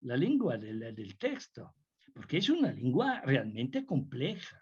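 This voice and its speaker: An older man from Italy with an Italian accent, a guest lecturer answering questions on a video call, speaking haltingly with many restarts. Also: medium pitch, huge pitch swings, darkish tone, slightly rough. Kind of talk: Zoom talk Q&A. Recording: muffled video call audio.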